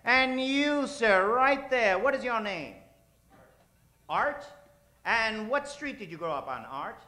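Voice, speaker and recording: High, bright-voiced male stage host. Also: expressive, slow pace. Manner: theatrical announcer delivery, drawing out names. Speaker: host of a live improvised radio comedy show. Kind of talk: stage hosting, bantering with a studio audience. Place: live on stage in a theater.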